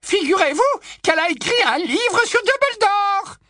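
Brief: shrill, cackling ancient aunt, swooping wildly in a dry, close booth. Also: male voice, sing-song, measured pace, sharp tone, no echo, exaggerated voice. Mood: gossipy. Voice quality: shrill, high squawk, endlessly repeating "non, non, non". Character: cackling, nosy, ancient aunt, 107 years old. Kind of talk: storybook reading.

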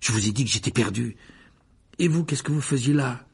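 Earnest storybook schoolboy read by a man: husky, understated, plain-spoken. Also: medium pitch, fairly quick, darkish tone. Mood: earnest, defensive.

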